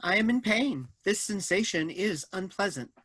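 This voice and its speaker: Casting: actress as snippy, literal robot helper, medium-pitched, mechanical and stilted, close dry recording. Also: female voice, animated, normal speed, fairly bright tone, slightly rough, no room sound. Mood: officious. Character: officious, literal-minded robot assistant, snippy. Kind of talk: staged play reading.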